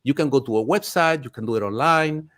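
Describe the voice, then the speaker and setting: Man from Chile, mortgage expert, emphatic, repeats for stress, middle pitch. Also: a little fast, fairly bright tone. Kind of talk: casual conversation.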